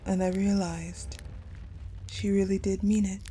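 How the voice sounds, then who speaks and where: A haunted, vengeful ice general played by a woman delivers a dark audio roleplay monologue. Her voice is mellow and relaxed in tone, breathy and often close to a whisper. She speaks slowly and haltingly, with faint music behind her. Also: medium pitch, natural voice, poor audio. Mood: tender, mournful. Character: wounded, vengeful ice-powered woman general recounting her tragic past.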